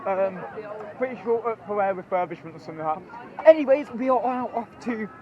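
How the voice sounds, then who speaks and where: A hoarse young man, chatty and rambling as he walks through a theme park with his phone. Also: high voice, fairly quick, lo-fi sound. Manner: chatty, rambling, excitable, many ums. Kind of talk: walking vlog commentary.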